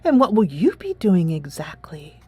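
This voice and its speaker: Woman reading as casual skeptical high school girl in a game, questioning everything, lively voice, dry sound. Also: moderate pace, breathy edge, dry recording. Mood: doubtful.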